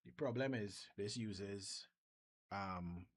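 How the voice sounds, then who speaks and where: A Trinidadian man with a Trinidadian accent, talking casually through a software demo and thinking aloud, often restarting his sentences. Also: on the low side, slow. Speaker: software developer vlogger. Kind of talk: casual screen-share demo.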